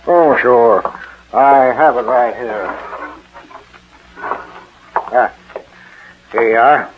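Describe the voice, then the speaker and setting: An actor playing a mild, obliging police official in a 1940s radio drama, polite and hesitant in manner. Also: male voice, medium pitch, drawn-out words, slight background noise. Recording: tinny, narrow 1940s radio recording.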